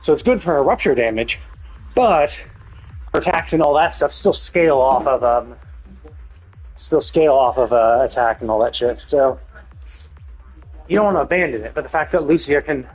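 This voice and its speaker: A man's voice: mid-range voice, animated, normal speed, long pauses, husky, faint music behind, rough recording. A man giving casual live game commentary, thinking aloud as he explains things, with some mild swearing. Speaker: game streamer.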